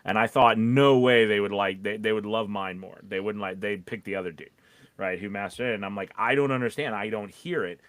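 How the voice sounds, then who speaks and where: Lowish-voiced man, rambling, very dry. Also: steady tone, fairly quick, a bit bright, no echo. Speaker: voiceover teacher. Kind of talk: casual podcast conversation.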